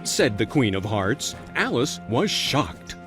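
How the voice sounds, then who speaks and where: A man's voice: medium pitch, big pitch swings, takes it slowly. A man narrating a scripted children's storybook tale as its storyteller. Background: faint music.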